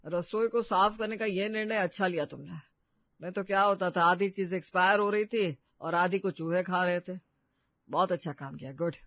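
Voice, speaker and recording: Man playing a high-voiced older father-in-law. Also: steady tone, moderate pace, no echo, dull audio. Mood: approving. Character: older father-in-law, calm, fair family elder.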